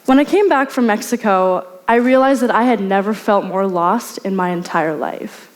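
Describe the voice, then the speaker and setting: A young woman speaks in a middle-pitched voice and tells her story in an earnest, measured way. She sounds like a student at a university talk series. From United States (Portland). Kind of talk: personal talk.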